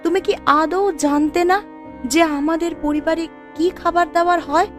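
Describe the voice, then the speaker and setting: A woman's voice: very high-pitched, fairly even intonation, unhurried, faint music behind. A woman voicing a proud, outspoken poor young wife in a moral-tale cartoon, with emphatic, dramatic delivery. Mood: indignant.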